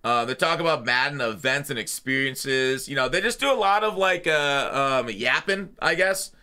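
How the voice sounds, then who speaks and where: A man's voice: medium pitch, lively intonation, moderate pace, bright, forceful tone, smooth. A man hosting a gaming channel, talking through the news in a casual, off-the-cuff way with blunt, slangy opinions.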